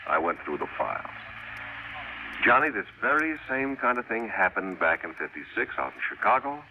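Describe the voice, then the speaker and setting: A man's voice: on the low side, very animated, normal speed. An American man playing a coy insurance bureau boss who explains and hints in a 1950s radio drama. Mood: earnest briefing. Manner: explanatory, hinting, folksy slang. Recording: faintly noisy vintage radio recording.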